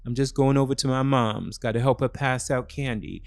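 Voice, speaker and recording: A man's voice: medium pitch, fairly even intonation, moderate pace, creaky, close to an everyday voice. A man voicing a casual boyfriend with false concern in a story, offhand and eager to sound helpful. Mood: casual, excusing.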